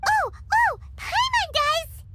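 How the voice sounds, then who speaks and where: An actress voicing a tiny, excitable, curious fairy girl in a video game, with a squeaky childlike voice and a chirpy manner. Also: slow pace, heavy background noise, full character voice. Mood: eager. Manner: chirpy, refers to herself in third person. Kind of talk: scripted video game cutscene acting.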